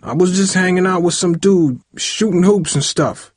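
A cowed teenage boy acted by a male narrator in an audiobook, pitched well up. He is evasive and shaky, stammering and pleading in a close, dry recording. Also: normal speed, husky, no room sound. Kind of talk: audiobook character voice.

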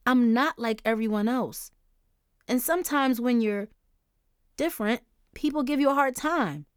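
A woman's voice: a little high, a little slow, clear, resonant tone, dry recording, clean studio sound, pitched above the performer's own voice, lightly acted. A woman voicing an upset, defensive fourteen-year-old girl who pleads and then lashes out angrily. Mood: angry. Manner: pleading, then angry and blurting.

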